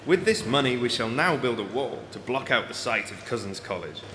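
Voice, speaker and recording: An actor playing a college official giving a formal ceremonial address. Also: male voice, medium pitch, lively intonation, a little fast, natural voice. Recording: echoey hall with loud crowd noise.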